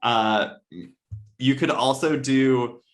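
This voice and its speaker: A man's voice: medium pitch, extremely slow, soft background music. A man chatting casually as a podcast panelist, rambling a little as he thinks aloud. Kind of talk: casual chat about adapting a short story.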